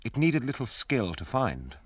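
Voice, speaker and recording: An actor narrating an epic radio drama as a grave storyteller. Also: male voice, mid-range voice, big pitch swings, unhurried.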